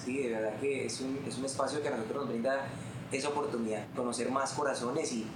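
A man's voice: mid-range voice, steady tone, fast talker. A man in his twenties with a Colombian accent, a guest telling his faith story in an earnest, heartfelt way. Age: 20s. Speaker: guest musician. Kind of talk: testimony in an interview. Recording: roomy room with background noise.

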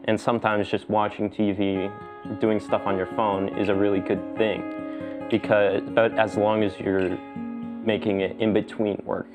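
A man talking haltingly in a casual faith discussion, with many pauses and "uh"s. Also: on the low side, flat delivery. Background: guitar music.

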